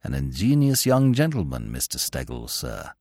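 A man voicing an imperturbable, deferential valet who speaks low, hushed and measured. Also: wildly swooping pitch, no room sound, clean studio sound, full character voice. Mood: composed. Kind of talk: audiobook character voice.

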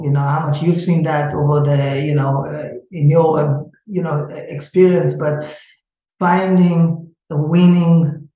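A man's voice: fairly high pitch, mellow. Hesitant Israeli-accented man, echoey room. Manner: hesitant, many fillers and restarts. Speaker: startup founder. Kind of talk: interview answers.

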